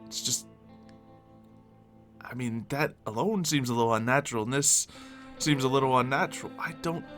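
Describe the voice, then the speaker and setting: A man plays a troubled zombie-apocalypse survivor in a game roleplay. His medium-pitched voice is halting and doubtful, searching for words. Music plays clearly behind him. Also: a bit bright, close to an everyday voice. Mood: apologetic, unsettled. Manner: halting, unsure, soul-searching. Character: conflicted, guilt-ridden male zombie-apocalypse survivor. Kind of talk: improvised roleplay dialogue and monologue.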